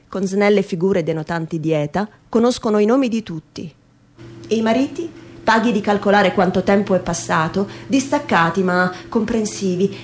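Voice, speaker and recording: Woman reciting literary prose, medium pitch. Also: darkish tone, a little hiss or hum. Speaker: stage reader, narrator. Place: live radio theatre reading.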